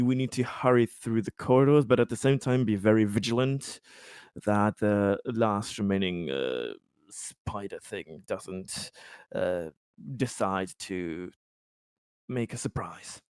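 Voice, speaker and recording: A man playing a tabletop roleplaying game, improvising in character in halting, hesitant phrases. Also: fairly low pitch, darkish tone, smooth, dry recording, clean studio sound.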